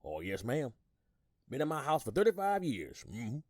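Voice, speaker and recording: A man's voice: medium pitch, wildly swooping pitch, moderate pace, darkish tone, no echo. A man playing an older retired army sergeant on the witness stand in an audio drama, folksy and gossipy, rambling and repeating himself. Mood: obliging, chatty. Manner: folksy, rambling, repeats words, hums agreement. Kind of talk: audio drama acting, courtroom testimony.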